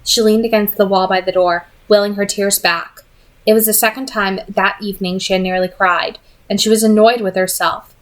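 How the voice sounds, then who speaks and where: A woman reading a novel aloud in an expressive amateur audiobook style. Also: medium pitch, normal speed, low-quality recording. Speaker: reader of a novel read-aloud.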